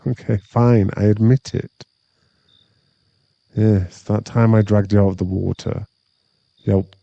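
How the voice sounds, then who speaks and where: A man plays a remorseful former bully, nearly thirty, in an intimate ASMR boyfriend audio drama. His low voice crackles with fry and comes haltingly, sincere and tender. It is recorded close and dry. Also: steady tone, no echo, lo-fi sound, heavily acted. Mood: fond, teasing. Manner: hesitant, halting, soft-spoken sincerity.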